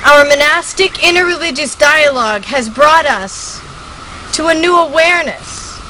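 A woman giving a solemn, measured reading of a ceremonial statement. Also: pushed and bright, poor audio. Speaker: reader of a conference statement. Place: gathering at a hermitage. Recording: clear ambient rumble and noise.

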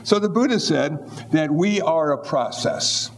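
A man's voice: a little high, expressive, measured pace. A man in his seventies giving a humorous Buddhist dharma talk, easygoing and wry. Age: 70s. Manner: easygoing, wry, acts out little voices. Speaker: Buddhist teacher.

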